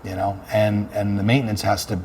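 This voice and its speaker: A man's voice: lowish voice, flat delivery, brisk, a little mellow, slight background noise. A man speaking as a fire chief being interviewed, talking about his work in an earnest, conversational way and repeating phrases for emphasis.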